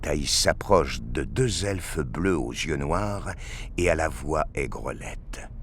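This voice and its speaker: Deep, slow male dramatic narrator. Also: expressive, a bit bright, slight background noise.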